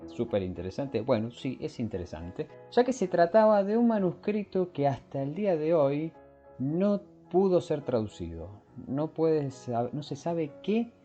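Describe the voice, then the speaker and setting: A man hosting a podcast, explaining things informally and often pausing to search for his words. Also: a little high, sing-song, warm tone, lo-fi sound, soft background music. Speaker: podcast host. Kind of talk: scripted intro and informal explanatory talk.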